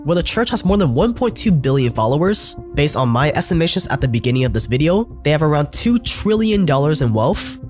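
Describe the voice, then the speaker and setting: A man's voice: fairly high pitch, sing-song, a little fast, few pauses, darkish tone, soft background music. A man narrating a scripted documentary in a wry, sarcastic way, his voice sounding a little sick.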